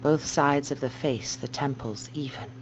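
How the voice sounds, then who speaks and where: A woman yoga teacher guiding a meditation in a slow, soft, calming and measured way. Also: extremely low pitch. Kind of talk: guided meditation instruction. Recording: dull muffled recording with noise and hum.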